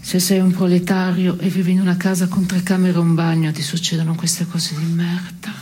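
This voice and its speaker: An actress playing a blunt, disenchanted daughter in an absurdist radio stage play, with terse, deadpan delivery. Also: female voice, fairly low pitch, brisk, a little hiss or hum, close to an everyday voice. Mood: bleak, deadpan. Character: blunt, disenchanted daughter recounting family indignities.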